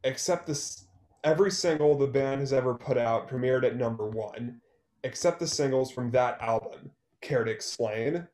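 Bitter, guarded male songwriter played by a man for a stage-play reading. Mid-pitched, terse, sarcastic, in a roomy echo. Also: normal speed, a little mellow, natural voice. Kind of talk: online one-act play table read. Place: small room at home, video call. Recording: roomy, echoey video-call sound.

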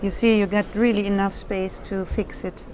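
A woman's voice: medium pitch, level tone, moderate pace, noisy background. A woman exhibitor demonstrating a small caravan at a trade fair, explaining features in simple, non-native phrasing. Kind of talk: product demonstration.

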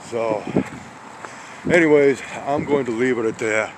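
A slow-talking man rambles along on a wintry walk, tossing out folksy exclamations as he goes. His voice sits at an ordinary middle pitch. Wind buffets the phone mic outside. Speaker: vlogger on a weather walk. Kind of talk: casual walking commentary. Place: outdoors on small-town streets in snow and wind. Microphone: handheld phone camera.

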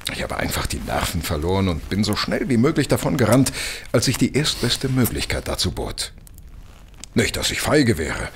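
Audio drama: sheepish, wordy gentleman, very low and swooping, faint noise behind. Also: full character voice. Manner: rambling, hesitant, polite and long-winded. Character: talkative, tipsy-living, apologetic married gentleman, mildly sheepish. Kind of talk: German audio drama acting.

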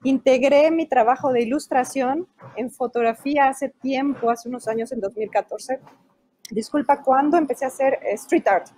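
A woman's voice: high-pitched, moderate pace, low-quality recording. A woman in her thirties from Mexico with a Mexican Spanish accent, an illustrator talking animatedly in a video call interview over an earphone mic. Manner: animated, gestures while talking. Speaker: illustrator and children's author. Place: indoor room at home. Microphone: earphone headset mic on a video call.

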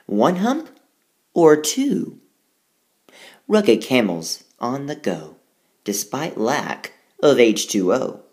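Slow, sing-song male teacher. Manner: slow, sing-song rhyming read-aloud with lively pitch. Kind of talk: reading a picture book aloud and explaining math. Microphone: tablet screencast voice-over.

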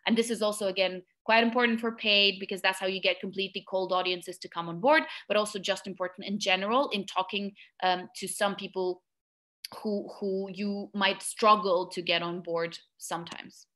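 A young woman giving a webinar talk over a video call, recorded on her webcam mic in a small indoor room. Her delivery is casual and conversational, full of fillers and restarts. Her pitch sits in a middle range for a woman. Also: steady tone, normal speed, sharp tone. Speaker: webinar presenter.

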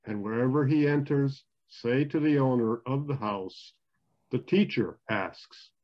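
Older man voicing solemn teacher, scripture reading, measured delivery, muffled video-call audio. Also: mid-range voice, lightly acted. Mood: instructing.

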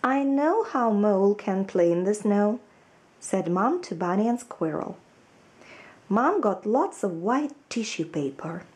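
A woman's voice: medium pitch, lively intonation, measured pace, a little mellow, close to an everyday voice. A woman voicing a kind, caring mother mole in a storybook reading. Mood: cheerful.

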